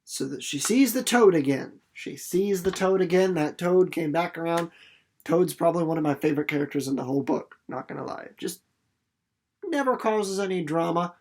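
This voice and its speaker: A man giving casual commentary on a book as a teacher, informal and a little halting as he thinks aloud. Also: fairly high pitch, lively intonation, a little mellow. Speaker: reader and teacher. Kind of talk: storybook narration and casual literary commentary for students.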